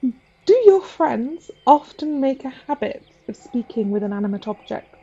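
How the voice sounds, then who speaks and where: Woman doing formal courtroom questioning, slightly high voice, dull lo-fi sound. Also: animated, a little slow, warm tone, slightly rough. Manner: formal, interrogating. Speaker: player voicing a fey judge.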